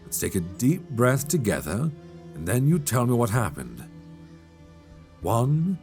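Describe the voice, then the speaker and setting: A man playing a calm, reassuring elf adventurer in an intimate fantasy ASMR roleplay, speaking gently and soothingly close to a binaural microphone. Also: medium pitch, faint music behind. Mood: soothing, concerned. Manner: gentle, soothing, measured delivery.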